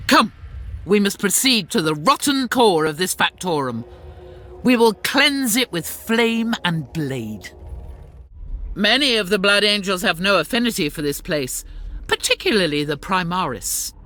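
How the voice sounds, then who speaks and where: An actress playing a stern, devout warrior sister in a video game, speaking formally and gravely with suspicion and zeal. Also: female voice, lowish voice, fairly bright tone. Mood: solemn resolve. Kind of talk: dramatic video game acting.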